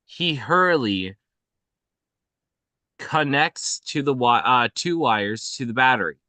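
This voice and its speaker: A man reading out screenplay action at a table read in a halting way, often stumbling and correcting himself. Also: mid-range voice, lively intonation, sharp tone, no echo. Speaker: reader of stage directions. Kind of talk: scripted narration at a table read.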